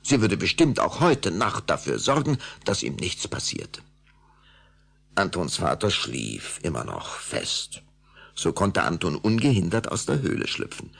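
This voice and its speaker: Narrator: man with a creaky, raspy voice, dramatic radio play storytelling, lively intonation. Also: low voice, a little slow. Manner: suspenseful, expressive storytelling.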